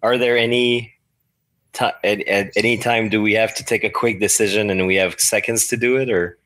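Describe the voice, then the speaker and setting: A man playing a story game as a podcast guest, asking questions and thinking out loud in casual talk. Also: fairly low pitch, fairly even intonation, moderate pace.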